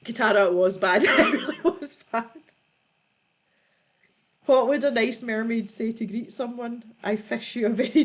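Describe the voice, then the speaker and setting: A woman hosting a casual livestream, reading out viewer jokes and laughing often as she chats. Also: a little high. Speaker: livestream host.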